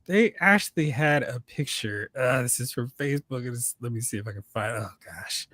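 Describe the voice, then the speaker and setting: A man's voice: medium pitch, animated, moderate pace, fairly bright tone, no echo. A man hosting a live talk stream, chatting casually and giving fan commentary. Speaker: host of a live talk stream on movies and comics.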